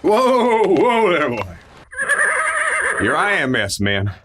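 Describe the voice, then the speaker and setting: A man playing an excitable, folksy Old West sheriff with an in a radio play. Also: on the high side, extremely slow, very noisy, exaggerated voice. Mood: folksy, eager. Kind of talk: western radio play acting.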